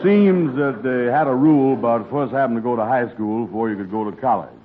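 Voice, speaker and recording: An actor playing a lazy, slow-witted male pal with naive, drawling dialect speech, in 1940s radio comedy. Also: medium pitch, a loud crowd, natural voice. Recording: tinny old radio broadcast with faint hiss.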